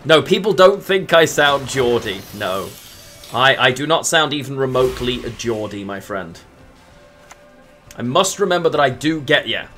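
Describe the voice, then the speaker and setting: A man's voice: mid-range voice, big pitch swings, normal speed, pushed and bright, faint music behind. A man from England streaming a card game, thinking aloud between moves and answering chat casually with lots of murmured fillers. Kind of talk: casual live-stream chat while playing.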